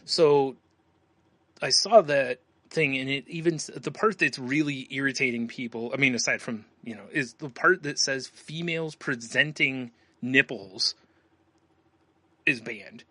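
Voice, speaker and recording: Bright-voiced American man, close and dry. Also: mid-range voice, measured pace, no room sound. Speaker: podcast host. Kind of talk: casual chat, show plugs.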